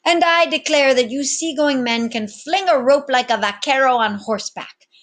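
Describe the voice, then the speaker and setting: A woman's voice: high-pitched, normal speed, a bit bright, voice pushed up. A woman reading aloud as a grandiloquent male pirate captain, commanding his crew in florid, oratorical speech. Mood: proud, inviting.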